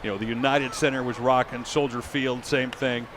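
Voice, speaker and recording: A man's voice: mid-range voice, steady tone, moderate pace. An American man, a sports announcer, talking casually as an interview guest. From United States, from Michigan and Illinois. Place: TV broadcast booth at an outdoor ballpark. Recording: noisy outdoor ballpark booth. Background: clear ambient stadium noise.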